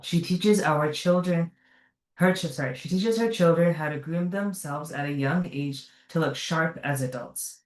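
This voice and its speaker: A woman's voice: low-pitched, a little slow. Earnest woman reading a speech, echoey. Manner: earnest, careful delivery with small self-corrections. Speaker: speaker at a community gathering. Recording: echoey room, mic at a distance.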